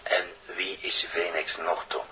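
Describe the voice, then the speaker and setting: An actor with a Flemish accent playing an insistent, probing doctor on a cassette recording in an audio drama. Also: male voice, low voice, level tone, measured pace. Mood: urgent, pleading. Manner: probing, urgent. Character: insistent male doctor questioning a disturbed patient. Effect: played back from a cassette recorder. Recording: muffled, noisy cassette tape playback.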